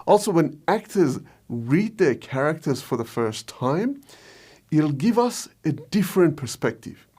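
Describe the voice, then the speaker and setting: A man's voice: fairly high pitch, sing-song, a little slow, a little mellow, slightly rough. A man presenting a scripted explainer as a video host and filmmaker. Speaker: video host, short film director.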